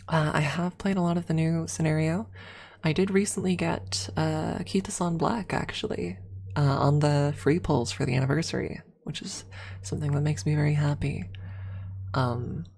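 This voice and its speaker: A woman with a soft, breathy voice, often near a whisper, chatting casually and playfully as an ASMR streamer close to the microphone. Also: sits low. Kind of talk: casual ASMR livestream chat with viewers.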